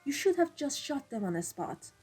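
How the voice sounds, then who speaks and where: A woman playing a cold, commanding vampire mafia boss in an ASMR roleplay, speaking in a deliberate, imperious way. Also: sits high, big pitch swings, dark tone. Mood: stern, impatient. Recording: music behind the voice. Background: classical background music.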